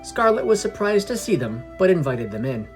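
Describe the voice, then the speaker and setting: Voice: medium pitch, very animated, normal speed. A podcast narrator reading a scripted fantasy story. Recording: music under the voice. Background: background music.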